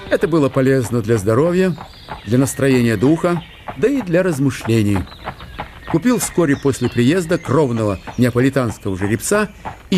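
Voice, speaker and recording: A man narrating a literary radio play in a measured, storytelling manner. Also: mid-range voice, darkish tone, a little hiss or hum.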